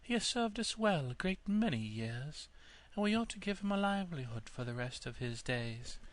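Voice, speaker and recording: A man voicing a shepherd's kind wife, pleading gently in a storybook tale. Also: fairly high pitch, very animated, normal speed, pitched above the performer's own voice.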